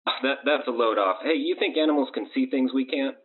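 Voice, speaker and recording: A man's voice: mid-range voice, brisk. A man playing a friendly, slightly worried regular-guy caller to a radio show, over the phone. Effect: phone line. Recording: thin phone-like caller line.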